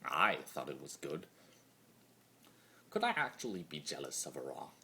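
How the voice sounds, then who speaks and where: A man's voice: a bass voice, sing-song, normal speed, gravelly, sharp tone, a little hiss or hum, pitched below the performer's own voice, heavily acted. A man voicing a mad, immortal chaos spirit in a theatrical dramatic monologue, torn between glee and brooding. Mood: hushed brooding. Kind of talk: dramatic fan-fiction monologue reading.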